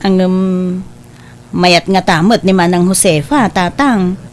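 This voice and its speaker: A woman voicing a gentle, devoted mother who speaks softly and respectfully to her father. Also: on the low side, measured pace, close to an everyday voice. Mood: earnest.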